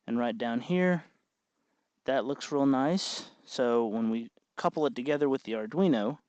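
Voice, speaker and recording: A man's voice: medium pitch, measured pace, a little mellow, no echo. Man giving a tutorial, dry muffled sound. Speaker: host of a hobby electronics tutorial. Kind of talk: informal hands-on tutorial narration.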